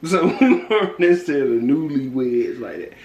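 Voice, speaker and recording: A man's voice: medium pitch, big pitch swings, normal speed, a little mellow. A man, joking around in a laid-back way as co-host. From Decatur, Georgia, US. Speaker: vlog co-host. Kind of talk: casual couple Q&A chat. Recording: roomy echo.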